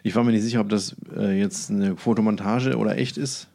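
A man's voice: lowish voice, steady tone, fairly quick, vocal fry, darkish tone. A man talks casually and tells anecdotes as co-host of a podcast.

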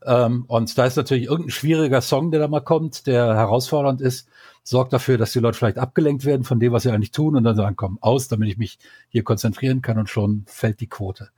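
A man's voice: mid-range voice, steady tone, quick pace, warm tone, slightly rough, dry recording, studio quality. A man co-hosting a podcast, arguing his opinions at length in a casual talk. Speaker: podcast co-host.

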